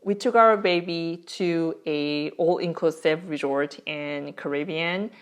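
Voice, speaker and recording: A woman in her thirties speaks English with a Korean accent, her first language being Korean. Her voice sits low for a woman. She talks in a chatty, candid way, with easy fillers and small restarts. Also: measured pace, smooth. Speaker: vlogger, new mother. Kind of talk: casual personal vlog.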